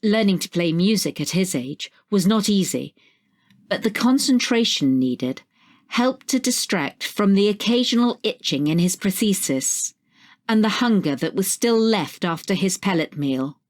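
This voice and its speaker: A woman's voice: medium pitch, animated, a little slow. A woman narrating a scripted short story.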